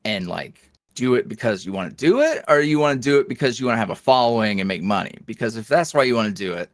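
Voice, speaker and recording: A man, an ASMR roleplay creator, chatting casually as a guest in an interview, animated and self-deprecating with plenty of fillers. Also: mid-range voice, quick pace, dry recording, studio quality.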